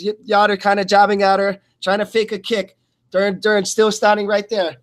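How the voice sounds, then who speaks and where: A man giving live blow-by-blow sports commentary as a play-by-play announcer, in a halting delivery that repeats and stumbles over words. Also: high voice, a bit bright.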